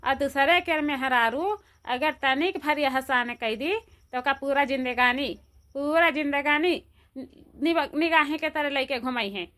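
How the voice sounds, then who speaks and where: A woman voicing an excitable, complaining, gossipy village woman in a cartoon, exclaiming and repeating herself in an Awadhi accent. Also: sits high, a little slow. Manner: repetitive, exclamatory. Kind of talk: amateur cartoon voice acting.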